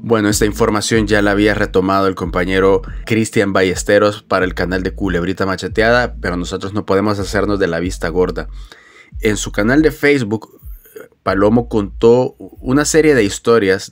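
A man's voice: fairly low pitch, a little fast. A man from El Salvador with a Salvadoran accent, hosting a sports news commentary show and addressing viewers. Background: music.

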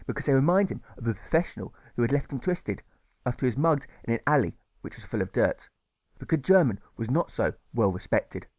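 A man reads a scripted rhyming story in a mid-pitched voice. His storytelling is measured and leans on the rhymes, recorded dry and close. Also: darkish tone, dry recording. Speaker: author narrating his audiobook.

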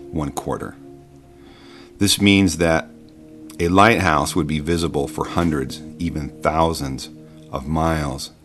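A man giving an informal explanatory voice-over, conversational and halting, patiently reasoning through his points. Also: very deep voice. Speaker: video narrator explaining science.

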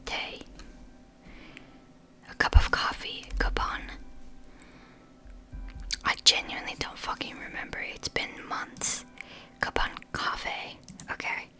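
A woman, whispering casually through language-app practice, halting and swearing now and then. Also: pushed and bright. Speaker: language learner.

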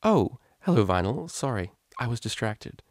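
A man's voice: medium pitch, sing-song, moderate pace, no echo, clean studio sound. Man as a polite, hesitant young mare cellist. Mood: awkward. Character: proper, awkward young female pony cellist.

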